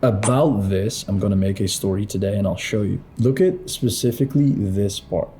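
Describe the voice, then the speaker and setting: A man talking through a screen-share tutorial as a business coach in a lowered late-night voice, blunt and pushy, swearing freely. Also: expressive, moderate pace, mellow, slight background noise.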